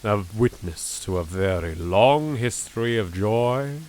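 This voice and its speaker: A British man narrating a horror game legend as a solemn, ominous storyteller, measured and lingering on phrases. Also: on the low side, animated, a little mellow, a little hiss or hum. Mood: grave, ominous.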